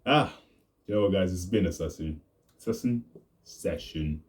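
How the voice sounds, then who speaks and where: A young man, talking in a rambling, casual vlog monologue and deliberately pushing his voice deeper. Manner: deliberately deepened, laid-back voice. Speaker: vlogger.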